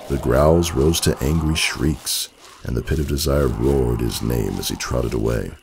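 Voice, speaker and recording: A man narrating a story as a dramatic scripted reading, with a gravelly, husky voice and expressive literary delivery. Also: very deep voice, measured pace, background noise.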